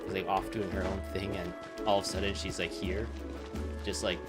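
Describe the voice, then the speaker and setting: A man's voice: sits low, flat delivery, moderate pace. A man hosting a casual game playthrough, rambling off into joking asides. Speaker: gaming video host. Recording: game music behind the voice.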